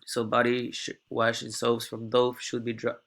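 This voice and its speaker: A young male student talks through a class presentation while sick and coughing. He speaks in a halting way and often repeats a word before pressing on. His voice sits at an ordinary middle pitch. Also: monotone, gravelly, a bit bright. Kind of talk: class presentation walking through a data dashboard.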